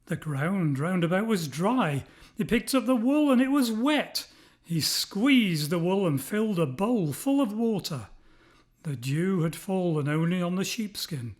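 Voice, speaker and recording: A man's voice: high voice, sing-song, unhurried. A man narrating a scripted children's story expressively, as a storyteller.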